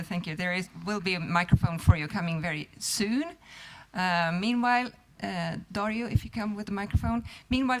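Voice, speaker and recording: Woman moderator at a conference, polite, lots of uhs, middle pitch. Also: fairly bright tone. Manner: polite, hesitant with fillers. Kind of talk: moderating a panel. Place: conference hall.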